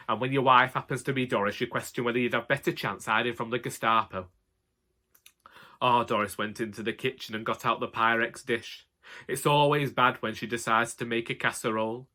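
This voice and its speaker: Man playing a grumbling old Northern English husband, bright-voiced. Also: mid-range voice, close to an everyday voice. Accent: Northern English, Cheshire. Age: older. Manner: wry, colloquial, exasperated. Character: grumbling henpecked old Northern husband, dryly comic storyteller. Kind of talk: comic dramatic monologue narration.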